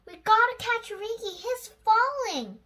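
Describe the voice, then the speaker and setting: A child reading a storybook, voicing a brave, indignant young heroine with shouted exclamations. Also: extremely high pitch, very animated, unhurried, pitched above the performer's own voice, heavily acted. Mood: urgent.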